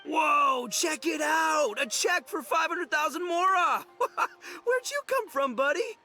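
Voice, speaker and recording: A man's voice: very high-pitched, animated, slow, sharp tone, faint music behind, exaggerated voice. An actor voicing a boisterous, gullible, big-hearted young man in a video game, brash and bumbling as he talks. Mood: thrilled. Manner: bumbling, brash, stammering over big words. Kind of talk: exaggerated video game voice acting.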